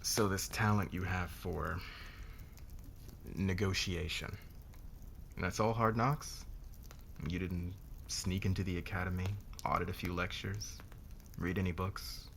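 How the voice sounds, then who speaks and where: A man playing a demanding, controlling boss in an ASMR roleplay, speaking in a measured, deliberate, commanding way with a gravelly voice. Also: sits low, steady tone, fairly bright tone, slight background noise, exaggerated voice. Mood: cool, commanding. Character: demanding, controlling male boss, a powerful calm lord.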